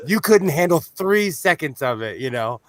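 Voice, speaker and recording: A man's voice: fairly high pitch, sing-song, normal speed, a crowd in the background. A man in his forties with a nasal voice, telling joking stories in casual group banter. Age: 40s. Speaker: panelist on a livestream discussion.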